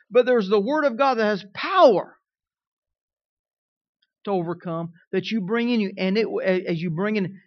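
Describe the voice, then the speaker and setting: A man in his fifties with a Southern twang delivers a sermon in a higher-than-usual voice, speaking conversationally and pausing often to check that his listeners are following. The recording is dry and a little dull. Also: no echo. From Kansas. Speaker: pastor.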